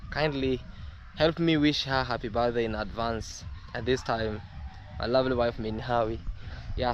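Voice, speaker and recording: A man's voice: mid-range voice, darkish tone, slight background noise. A young man from Kenya chatting casually in a vlog from his rural home garden, full of fillers and restarts. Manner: hesitant, many fillers and restarts. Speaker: vlogger. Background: distant music.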